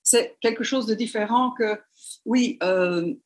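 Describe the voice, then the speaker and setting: A woman's voice: fairly high pitch, takes it slowly. A woman giving a lecture over slides by webcam, speaking French as a second language, with frequent fillers and restarts. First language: not French. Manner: hesitant, many fillers and restarts. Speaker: university lecturer.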